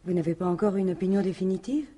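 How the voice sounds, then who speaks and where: An actress playing a defensive, jealous French widow in a radio mystery, talking in halting, colloquial phrases. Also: female voice, fairly low pitch, warm tone, husky. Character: defensive, jealous widow, plain-spoken businesswoman. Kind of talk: 1950s-style French radio mystery acting.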